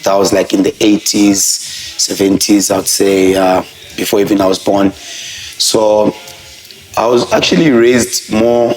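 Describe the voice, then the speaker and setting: A man's voice: on the low side, fairly even intonation, a little slow, over music. A man, a professional fighter, talking earnestly about his life as an interview guest. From Zambia. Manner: earnest, frequent "I would say" and "you know". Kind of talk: reflective interview talk. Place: distillery room. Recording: echoey room.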